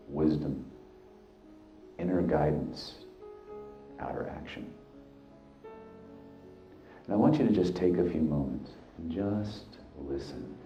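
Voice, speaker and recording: A man leading a guided hypnosis, speaking deliberately in short, halting phrases with hypnotic repetition. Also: rumbling low, dark tone. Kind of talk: guided hypnosis, slow meditative narration. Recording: echoey, dull sound with music behind. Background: background music.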